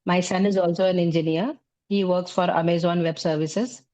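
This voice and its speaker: A middle-aged woman from South India with an Indian English accent, talking as an interview guest about her teaching work in a relaxed way, leaning on fillers like "you know, like". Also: fairly low pitch, normal speed. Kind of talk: conversational interview.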